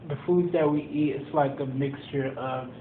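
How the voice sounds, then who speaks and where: A young man from Tanzania, a college student, answering interview questions in a measured, explanatory way with many pauses and ums. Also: on the high side, fairly even intonation. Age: young adult. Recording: echoey room over a tinny phone-like line with background noise.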